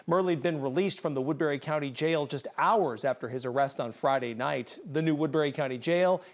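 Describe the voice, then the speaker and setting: A man's voice: a little high, fairly quick. A man anchoring television news, reading scripted copy.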